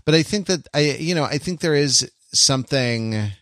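A man co-hosting a podcast, discussing literature casually in a halting way, often repeating and interrupting himself. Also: on the high side, expressive, a bit bright, no room sound, studio quality. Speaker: podcast co-host.

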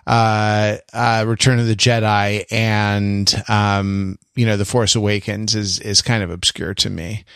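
A man chatting casually as a podcast panelist, halting and tentative, with a self-deprecating, bookish way of talking. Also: on the low side, little pitch movement, fairly bright tone, clear, resonant tone, no room sound, studio quality. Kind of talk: casual intellectual chat.

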